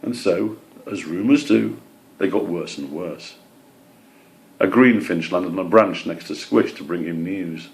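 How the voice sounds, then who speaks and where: Male storybook reader, lowish voice with plenty of fry, narrating for children. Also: measured pace.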